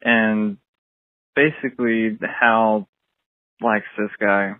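A man leaves a measured, deliberate voicemail about a problem among friends. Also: lowish voice, little pitch movement, dry recording. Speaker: caller leaving a voicemail. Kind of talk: personal voicemail telling a story. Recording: tinny phone line.

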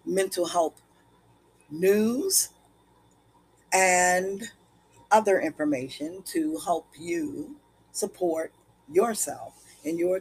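Slow, husky-voiced woman podcast host. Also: lowish voice, darkish tone, low-quality recording. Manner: slow, deliberate delivery. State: scratchy voice. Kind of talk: podcast monologue.

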